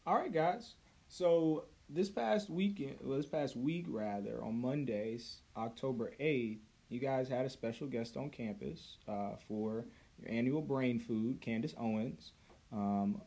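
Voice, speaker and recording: A man hosting a podcast, first reading a prepared introduction and then moderating a student panel in a relaxed way, with many "uh"s and self-corrections. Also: medium pitch, a little slow. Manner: relaxed, with frequent fillers and self-corrections when unscripted. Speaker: podcast host. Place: university campus.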